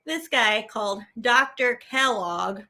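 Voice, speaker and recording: A woman's voice: a little high, slow pace, pushed and bright. A woman hosting a children's lesson as a teaching artist, speaking playfully and animatedly to viewers at home in simple, repeated phrases.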